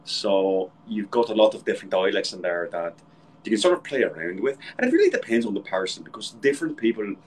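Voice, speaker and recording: Man, very deep voice, faint room hum, casual cursing chat, video call. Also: big pitch swings, fast, low-quality recording. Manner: chatty, swears casually. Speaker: voice actor guest. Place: home room with shelves, indoors.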